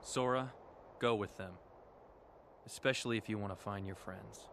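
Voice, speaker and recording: Low-voiced, stoic swordsman. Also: normal speed, creaky, noisy background. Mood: calm, firm. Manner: terse, restrained. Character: stoic, serious young swordsman. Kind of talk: video game cutscene acting.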